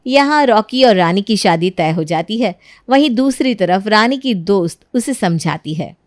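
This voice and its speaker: A woman's voice: mid-range voice, lively intonation, measured pace, dry recording, clean studio sound. A woman giving scripted narration for a family moral story.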